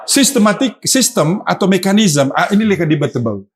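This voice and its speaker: A man with a Sulawesi accent, a politician guest on a talk show, explaining his points in an animated way. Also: sits high, normal speed, breathy edge. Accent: Sulawesi Indonesian. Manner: animated, explanatory, lively asides.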